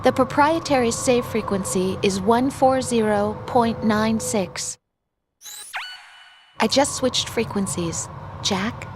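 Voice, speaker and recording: Earnest young female analyst, highish voice. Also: slow, noisy background. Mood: obliging, then tender, wistful. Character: young female intelligence analyst, protective, worried, headstrong girlfriend. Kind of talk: video game voice acting.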